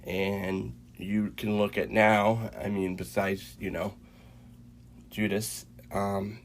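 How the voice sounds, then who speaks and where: A man co-hosting a faith podcast, congested and a little raspy from a cold, talking in a halting way with many fillers and restarts. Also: fairly low pitch, level tone, a little hiss or hum. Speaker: podcast co-host. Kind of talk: casual faith chat.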